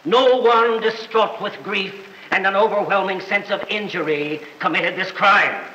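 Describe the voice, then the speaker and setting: A man's voice: high voice, a little slow, sharp tone. A man playing a pompous, accusing small-town district attorney in declamatory old film courtroom style. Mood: accusing, theatrical. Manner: declamatory, oratorical. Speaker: actor playing a prosecutor. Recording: dull, roomy old film soundtrack, faint noise.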